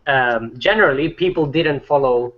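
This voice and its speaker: A man talking casually in a group chat, rambling with many fillers and restarts; he says his voice is not deep. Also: moderate pace, lo-fi sound.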